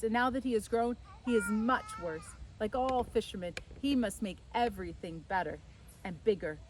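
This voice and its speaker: A woman as an excitable, scolding Italian mama. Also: medium pitch, lightly acted, rough recording. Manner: exclamatory, repetitive, dramatic complaining. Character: excitable, scolding, house-proud Italian innkeeper's wife and mother.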